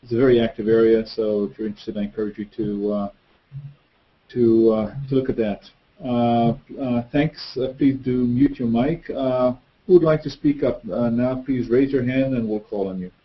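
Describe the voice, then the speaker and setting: A man's voice: mid-range voice, fairly even intonation, moderate pace. Man, course instructor, video call, muffled webcam audio, hesitant fillers. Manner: hesitant, many fillers, friendly moderating. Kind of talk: casual online Q&A. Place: office with painting on wall. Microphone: webcam mic on video call.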